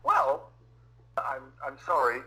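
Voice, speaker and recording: Bright-voiced man, rambling and self-correcting. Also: medium pitch, wildly swooping pitch, slow pace, gravelly, poor audio. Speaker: debate participant answering questions. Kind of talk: casual online discussion.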